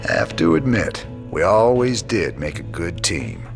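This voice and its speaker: Gruff rebel captain, lowish gravel tone, easygoing, familiar, swelling music behind, game cutscene. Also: male voice, very animated, measured pace, exaggerated voice. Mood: friendly. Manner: folksy, dry, familiar. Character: easygoing, gruff rebel commander, loyal old friend.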